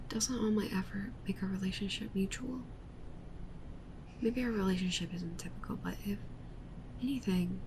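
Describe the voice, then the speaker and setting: A woman's voice: mid-range voice, background noise, close to an everyday voice. A woman playing an obsessive, clingy yandere witch streamer in an intimate roleplay ASMR monologue, half-whispering with intensity. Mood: earnest, clingy.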